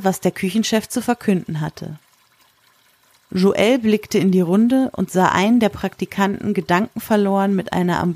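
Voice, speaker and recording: A woman reads a story as narrator in a mid-range voice. Her delivery is measured and literary, and the studio recording is very dry. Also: mid-range voice, vocal fry, darkish tone, husky, no echo.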